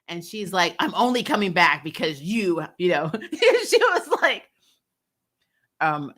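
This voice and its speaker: A woman hosting a casual recap show, blunt and opinionated, retelling scenes in an animated, chatty way with some mild swearing. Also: fairly low pitch, takes it slowly. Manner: animated retelling, acting out dialogue, prone to chuckling; blunt, opinionated, mildly profane. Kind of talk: casual episode recap and commentary.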